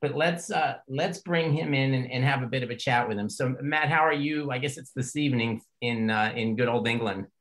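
A man's voice: medium pitch, a little fast, hardly any pauses, a bit bright. Rambling older male podcast host. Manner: rambling, folksy, joking. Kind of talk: casual podcast interview.

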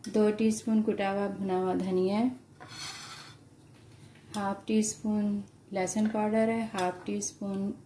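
A woman with an Urdu-influenced Hindustani accent calmly explains a home cooking recipe step by step to viewers. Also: medium pitch, slow, pauses often, a little hiss or hum. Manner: calm, explanatory, addresses viewers directly. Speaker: home cooking channel host. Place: home kitchen at the stove.

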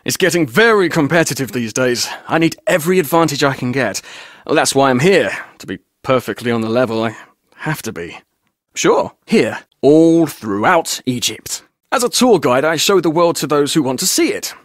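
A man's voice: medium pitch, lively intonation, normal speed, dry recording, clean studio sound, close to an everyday voice. An actor playing a chatty, roguish male tour guide in a video game, with a glib, jaunty, theatrical way of telling things. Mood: breezy, joking. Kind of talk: video game character dialogue.

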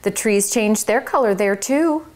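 A bossy, fussy little male mouse with a high, squeaky voice, as a woman puts him on while reading a storybook aloud. He talks in an insistent, excitable way, like a self-important manager. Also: close to an everyday voice. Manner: bossy, quick to fluster, squeaky. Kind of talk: children's book read-aloud.

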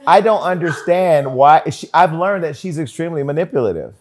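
A man's voice: a little high, animated, a little mellow. Man hosting, teasing questions. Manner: teasing, provocative questioning, quick asides. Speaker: talk-show host. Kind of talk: gossipy talk-show interview. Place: bright studio set with city view.

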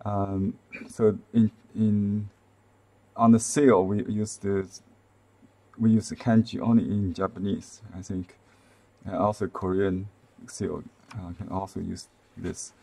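A man in his fifties with a Chinese accent, explaining calligraphy on a live stream from a desk webcam, softly and with many fillers and restarts. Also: fairly low pitch, level tone, takes it slowly, creaky. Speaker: calligraphy and seal-carving teacher. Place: indoor room with shelves, at a desk.

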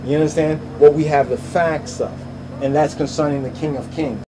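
A man giving an informal religious language lesson over slides, sounding out words slowly and repeating them, and checking in with "you understand?" after nearly every point. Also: a little high, steady tone, low-quality recording. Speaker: bible study teacher.

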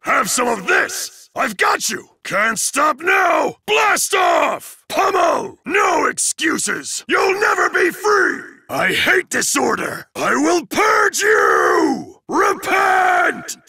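An actor playing a huge, stern, zealous student enforcer in an anime fighting game, booming and bombastic, with formal, emphatic delivery and a gravelly, forceful voice. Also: male voice, very animated, very slow, no room sound, clean studio sound, heavily acted. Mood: battle fury.

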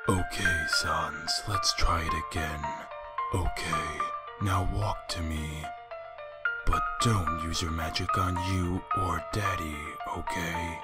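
Man playing very deep, slow skeleton dad. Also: steady tone. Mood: patient. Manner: slow, deliberate, exasperated. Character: weary skeleton father coaxing his baby son. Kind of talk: comic dub voice acting. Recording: loud music behind the voice.

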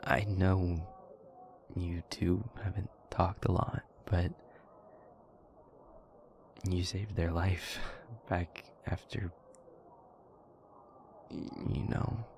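A man playing an earnest, warm-hearted young friend in an intimate audio roleplay, casual, sweary and a bit hesitant. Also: sits low, flat delivery, extremely slow, vocal fry, pauses often, a little hiss or hum, full character voice. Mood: earnest, apologetic.

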